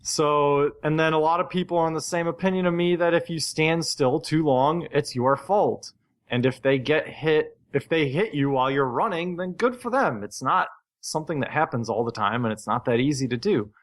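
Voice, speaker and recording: A man's voice: on the high side, normal speed. A man co-hosting a casual gaming podcast, talking in an opinionated, ranting way. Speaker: podcast co-host.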